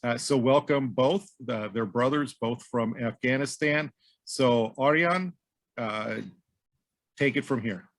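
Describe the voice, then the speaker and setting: A middle-aged man hosting a podcast, reading a scripted intro and then speaking haltingly with many ums and uhs. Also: medium pitch, no room sound. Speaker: podcast host. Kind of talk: scripted intro, then hesitant interview talk.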